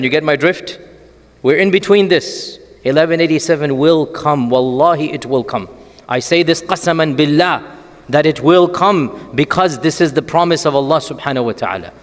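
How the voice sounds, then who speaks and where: Man, Islamic lecturer, fairly high voice, animated storytelling, rhetorical questions, long hall reverb.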